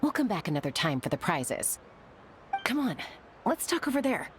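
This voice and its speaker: A woman's voice: lowish voice, very animated, moderate pace, slight background noise. A woman voicing a level-headed, observant young female adventurer in an anime-style video game, composed and direct. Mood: assured.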